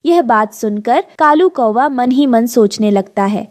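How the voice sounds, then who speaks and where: A woman's voice: a little high, expressive, unhurried, a little mellow, no room sound, clean studio sound. A woman narrator reading a scripted children's story.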